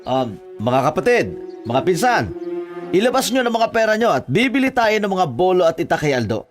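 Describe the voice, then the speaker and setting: A man playing a flattering, smitten leader of a visiting gang in a horror audio drama. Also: sits high, fairly quick, soft background music. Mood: eager.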